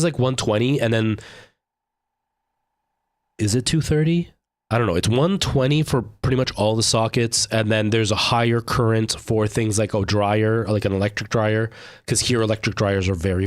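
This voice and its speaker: A man's voice: fairly low pitch, dry recording, studio quality. Stuffy-nosed young man rambling on stream. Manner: rambling, chatty, frequent fillers and restarts. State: stuffed up with a lingering cough. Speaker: game streamer. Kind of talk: casual live-stream chat with viewers.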